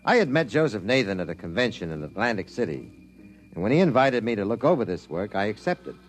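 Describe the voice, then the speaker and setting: A worldly science reporter narrating a 1950s radio play with dry, wry wit. His voice sits low, with faint music underneath. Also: sing-song, fast talker. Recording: vintage 1950s radio transcription.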